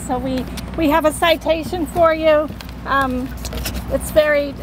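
Soft-spoken woman speaking outdoors, high voice. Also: background noise. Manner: soft-spoken, halting with restarts. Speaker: volunteer program director. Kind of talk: outdoor ceremony speech. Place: outdoors at a podium in front of a brick building. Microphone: podium mic.

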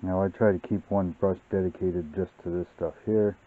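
A man hosting a model-building channel, explaining his workbench steps in a relaxed, rambling way with many fillers. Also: sits low, normal speed. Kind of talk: casual hobby tutorial narration. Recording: tinny, phone-like sound.

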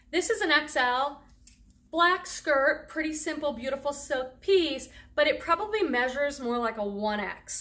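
High-voiced chatty woman with a faint Boston accent. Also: a bit bright. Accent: Boston, on a handful of words. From Boston area, USA. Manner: chatty, enthusiastic about clothing finds. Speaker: thrift reseller vlogger. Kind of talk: casual haul vlog.

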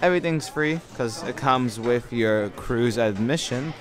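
A man's voice: medium pitch, a little slow, background noise. A young man in his twenties casually vlogging with an upbeat, chatty manner, holding the camera at arm's length in an indoor ship restaurant. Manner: upbeat, chatty, reads signs aloud. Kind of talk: casual travel vlog narration. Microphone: handheld camera at arm's length. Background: background music playing.

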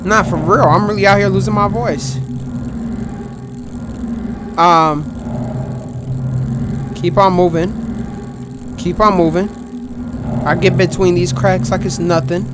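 A young man commentating a horror game in a loud, excitable, trash-talking way, his voice going hoarse, recorded on a headset mic at a home setup. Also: high-pitched, slow, long pauses, very noisy. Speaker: gaming streamer.

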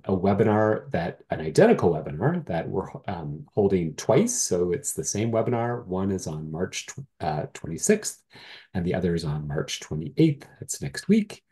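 This man sounds stuffy and congested from a cold, his voice very deep and dark, with pitch that rises and falls a lot. He presents over a video call, halting on frequent ums and apologizing as he goes. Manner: apologetic, hesitant with many ums. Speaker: nonprofit presenter. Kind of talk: meeting presentation.

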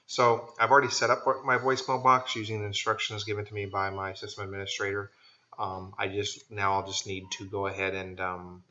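Low-voiced American man, bright tone. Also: slight background noise. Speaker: software trainer. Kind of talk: casual screen-share tutorial narration. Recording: dull lo-fi voice-over.

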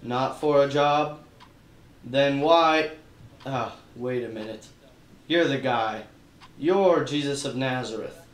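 A man's voice: mid-range voice, slow, pauses often, echoey room. A man narrating and acting as a wisecracking detective in a church radio play. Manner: wisecracking, hard-boiled narration.

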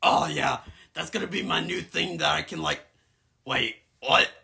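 A man's voice: a little high, measured pace, bright, forceful tone, exaggerated voice. A man voicing a bumbling, greedy mad scientist villain in a comedic game fan dub, strained, blustering and easily confused. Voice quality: gravelly, strained. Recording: game music behind.

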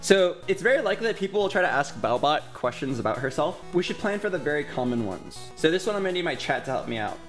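A man's voice: a little high, big pitch swings, fast talker, hardly any pauses, a bit bright. A man, chatting casually on a livestream as he gives directions. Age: 20s. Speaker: streamer directing voice line recording. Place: indoors, streaming room. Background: video game music.

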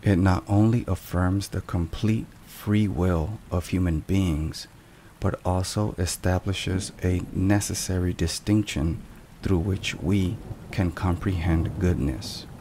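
A low-voiced man delivers scripted devotional narration in a hushed ASMR style. His delivery is very slow, measured and reflective. Rain and thunder are clearly heard behind him. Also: low-quality recording. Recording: steady rain and thunder noise. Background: rain and thunderstorm sounds.

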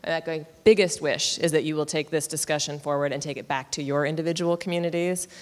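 A woman co-hosting a conference, introducing the closing speaker earnestly and a little haltingly into a handheld mic. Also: low voice, fairly even intonation, a little mellow. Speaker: conference co-host, real estate agent. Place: large conference hall on stage. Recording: long hall reverb.

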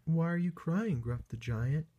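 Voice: medium pitch, unhurried, dark tone, dull audio, voice pushed up, natural voice. A reader voicing a small young bunny princess in a children's storybook, eager, kind and earnest. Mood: curious, concerned.

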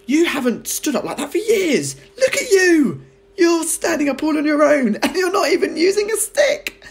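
A man voicing an excitable young boy in a children's storybook, exclaiming urgently. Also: very high-pitched, moderate pace, vocal fry, voice pushed up, full character voice. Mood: amazed. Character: excitable, alarmed young boy, amazed at his grandma.

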